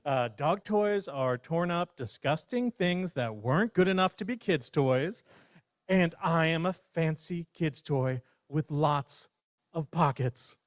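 A man's voice: fairly high pitch, slow pace, no room sound, clean studio sound, voice pushed up. A man reading a storybook aloud and voicing a boastful toy bear who is eager and a little indignant. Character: cheerful boastful toy bear, proud of his pockets.

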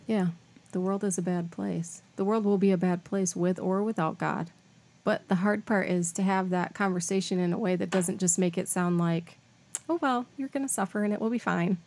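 Woman on a faith podcast, kinda low voice, talking things through. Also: steady tone, moderate pace, a little mellow, slight background noise. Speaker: podcast guest speaker on faith. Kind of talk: thoughtful conversational discussion.

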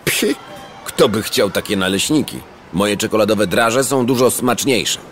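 A man's voice: fairly low pitch, unhurried, background noise, natural voice. An actor voicing a cocky young male cartoon dog who teases and boasts. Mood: boastful. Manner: boastful, teasing, very lively. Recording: studio cartoon audio with music behind.

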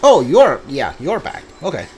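Male streamer, casual and questioning, animated intonation, faint game noise behind. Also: a little high, moderate pace. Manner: musing aloud, questioning. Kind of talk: casual playthrough commentary.

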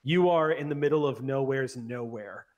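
A man in his forties narrates a tabletop roleplaying game as its game master. His voice sits at a middle pitch. He describes scenes at length, pausing often as he goes. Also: dull audio. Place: indoor room at home, on a video call. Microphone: large microphone up close on a boom.

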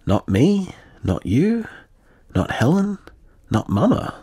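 An actor playing an anxious, frustrated, grieving man, halting and questioning as he vents in an audio drama voicemail. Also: fairly high pitch, very animated, mellow, breathy edge, no echo, studio quality, full character voice. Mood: puzzled.

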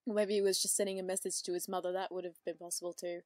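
A woman with an alto voice giving excitable, spontaneous reaction commentary. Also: on the low side, fast, no room sound. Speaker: commentator reacting to a fan animation. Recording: music under the voice.

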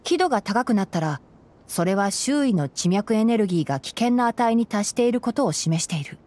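Woman voicing a calm young male scholar. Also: medium pitch, close to an everyday voice. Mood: analytical. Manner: measured, explanatory, soft-spoken. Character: gentle young male forest ranger scholar, calm and analytical. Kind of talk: Japanese anime game voice acting.

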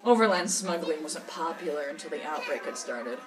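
A jaded woman smuggler acted by a woman tells her story in an audio drama interview. Her voice is low and worldly, matter-of-fact and cynical. She sounds a little far from the mic, with music clearly behind her. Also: animated, moderate pace, a crowd in the background. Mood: wry, matter-of-fact. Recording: slightly distant, music behind.

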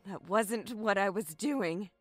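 A woman's voice: mid-range voice, slow, no room sound, faint music behind. A woman playing a frightened but defiant young captive of a killer in an audio drama, emotional and pleading.

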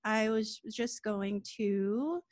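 A woman walks through a coding demo over a video call in a casual, informal way. She talks quickly and corrects herself on the fly. Her sound is dry and close. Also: medium pitch, a little mellow, no room sound. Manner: quick, informal, with small laughs and self-corrections. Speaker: lightning talk speaker.

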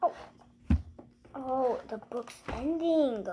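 A child with a high voice reads a picture book aloud at a slow, halting pace. The delivery is playful, with exclamations and little asides to viewers, like a young host presenting at home. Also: background noise. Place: living room at home, indoors.

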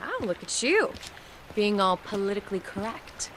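An actress as a lively, witty young American botanist. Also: female voice, medium pitch, normal speed, noisy background, lightly acted. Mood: wry, teasing. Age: young adult. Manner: wry, articulate, teasing. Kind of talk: naturalistic video game acting.

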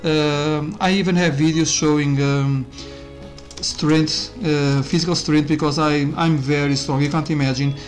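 A man's voice: on the high side, steady tone, unhurried. Man rambling over gameplay. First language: Portuguese. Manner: rambling, self-interrupting, with frequent fillers and laughs. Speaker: YouTuber reviewing a game. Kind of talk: casual gameplay commentary. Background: faint game music.

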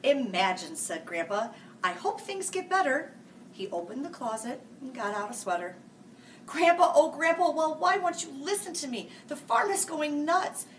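A woman in her forties reading a picture book aloud to children in a sing-song, animated way, jumping to loud, high character lines. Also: normal speed. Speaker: school staff reading buddy. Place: small room, seated before a green-screen farm backdrop. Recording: distant mic with faint hiss.

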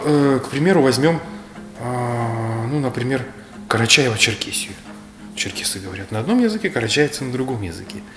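Man from Kalmykia lecturing in an echoey room. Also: medium pitch. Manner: measured, lecturing, gesturing with his hands. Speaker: speaker on history. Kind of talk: reflective explanatory talk. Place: bright indoor room. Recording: roomy echo, faint music.

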